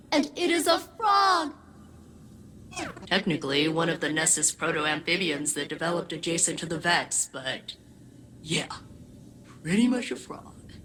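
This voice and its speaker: A woman voicing a quirky, eager, childlike research AI in a video game, chatting excitably in technical jargon and cute exclamations. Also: on the low side, a little hiss or hum, heavily acted. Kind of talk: video game character dialogue.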